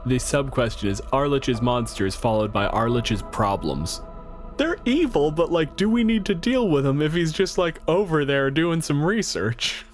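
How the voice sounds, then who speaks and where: A man's voice: a little high, very animated, normal speed, soft background music. A man hosting a casual podcast discussion, wry in manner, building his points step by step. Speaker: podcast host.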